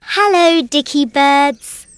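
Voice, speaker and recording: Woman voicing a very high-pitched little storybook girl. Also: slow pace, a little hiss or hum. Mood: friendly, curious. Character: sweet young girl, friendly, easily saddened. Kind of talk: children's storybook character voice.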